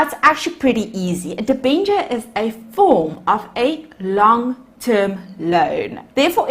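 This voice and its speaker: A South African woman lecturing in a slow, unhurried way, her pitch rising and falling a lot as she explains. She is a native Afrikaans speaker speaking English, talking straight to her students in a recorded voice-over for slides. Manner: explanatory, addresses students as guys. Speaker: accounting lecturer. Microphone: voice-over on screen recording.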